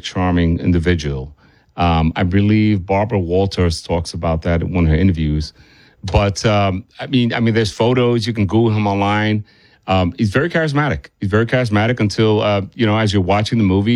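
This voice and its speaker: A man's voice: fairly low pitch, no echo. A man speaking conversationally as a film director being interviewed, often circling back and repeating himself.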